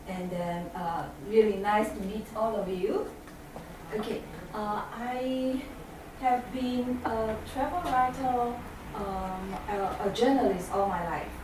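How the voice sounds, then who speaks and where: A Singaporean woman whose first language is Chinese, giving a talk to an audience in English, with many hesitant fillers. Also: medium pitch. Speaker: guest speaker. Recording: echoey hall from far off, noisy.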